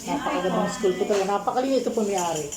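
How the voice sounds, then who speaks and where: Chatty Filipino woman vlogging amid loud crowd noise. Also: medium pitch, expressive, racing. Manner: chatty, repeats words, mixes Tagalog and English. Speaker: vlogger, baking student. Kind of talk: casual vlog chat, greeting people and showing around. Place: busy school rooms. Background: loud chatter of people.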